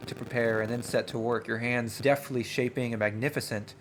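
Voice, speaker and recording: A man playing a tabletop game, reading narration aloud and thinking out loud in excitable, slangy asides. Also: mid-range voice, brisk, rough recording. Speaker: player and host of a gaming channel. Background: music.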